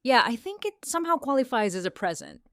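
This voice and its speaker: A woman voicing a brash, sharp-tongued mother in a comic dub, blunt and sardonic. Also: on the high side, very animated, fast talker, no echo, clean studio sound. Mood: nervous.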